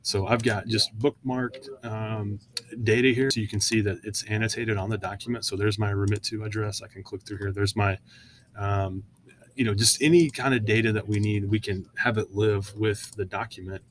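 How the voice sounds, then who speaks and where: A man presenting software in an informal, conversational screen-share walkthrough, often hesitating with fillers as he explains each step. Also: lowish voice, steady tone, moderate pace, slight background noise.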